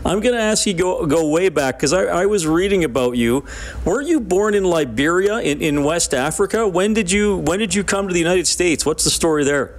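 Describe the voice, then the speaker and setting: A man's voice: sits high, animated, brisk, a little hiss or hum. A man hosting a radio sports show, interviewing a player in a chatty, friendly way.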